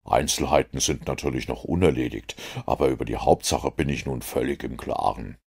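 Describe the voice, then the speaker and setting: A man voicing a keen, self-assured detective who explains his conclusions in a measured, commanding way. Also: very deep voice, vocal fry, a little mellow, breathy edge, pitched below the performer's own voice, exaggerated voice. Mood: triumphant.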